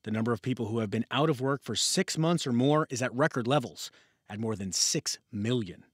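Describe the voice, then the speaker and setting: A man's voice: mid-range voice, a little fast, a little hiss or hum. American male news anchor. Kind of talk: scripted news narration.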